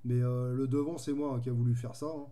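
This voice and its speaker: A man's voice: medium pitch, level tone, quick pace, warm tone. A man chatting casually as a radio hobbyist, easygoing and rambling in his way of talking. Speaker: amateur radio operator.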